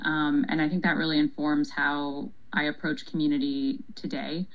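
Low-voiced young woman answering thoughtfully. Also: flat delivery, normal speed, highs cut off. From Paraguay. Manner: thoughtful, laughs easily, many ums. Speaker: city council member. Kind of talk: conversational interview answers.

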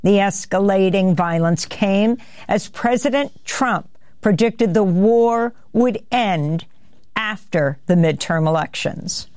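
An older woman hosting a news show, reading the headlines in a measured, steady way into a studio mic. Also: mid-range voice, no echo. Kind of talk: news headlines read from script.

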